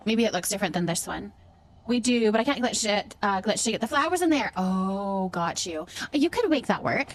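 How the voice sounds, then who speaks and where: A woman livestreaming a game, chatting casually with viewers and thinking aloud as she goes. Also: a little high.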